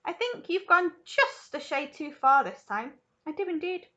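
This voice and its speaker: A woman's voice: high voice, big pitch swings, normal speed, sharp tone, lo-fi sound, voice pushed up, heavily acted. A woman voicing a pompous, self-important rich father in a storybook reading.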